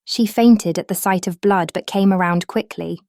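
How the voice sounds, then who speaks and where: Gravelly-voiced woman reading examples. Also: mid-range voice, moderate pace, a little mellow, no echo, clean studio sound. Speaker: reader of example sentences. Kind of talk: slow, clear example sentence reading.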